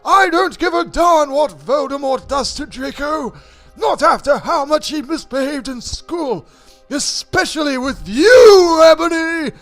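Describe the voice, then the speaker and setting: A man voicing a mean, angry schoolteacher with loud, scornful outbursts in a dramatic fan fiction reading. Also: very high voice, expressive, a little slow, voice pushed up, exaggerated voice. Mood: scornful anger. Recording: music playing behind the voice.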